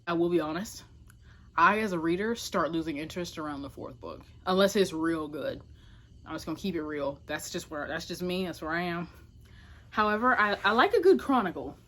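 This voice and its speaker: A woman's voice: on the low side, expressive, a little fast, a bit bright. A woman talking casually to camera as a book vlogger, informal and emphatic, often correcting herself with little asides. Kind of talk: casual chatty talk to camera.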